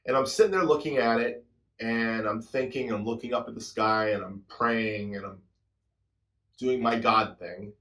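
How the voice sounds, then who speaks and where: A man in his sixties speaks to his viewers in a reflective, introspective way, unhurried and personal. His voice sits a little low, and he lets many phrases fade off softly. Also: rough recording. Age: 60s. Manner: introspective storytelling, soft trailing endings. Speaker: drummer and channel host. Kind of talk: reflective personal talk to camera.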